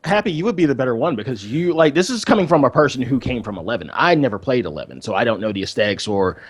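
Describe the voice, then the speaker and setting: A man co-hosting a gaming podcast in casual, unscripted chat, with somewhat halting phrasing. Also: medium pitch, lively intonation, breathy edge. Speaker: podcast co-host. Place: indoors, online voice call.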